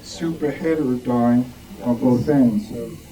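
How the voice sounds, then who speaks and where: A man's voice: medium pitch, a little slow. A man giving an informal show-and-tell talk to a club, hesitant and often searching for words. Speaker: club member presenting collection. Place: club meeting room with audience. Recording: distant muffled room mic, background noise.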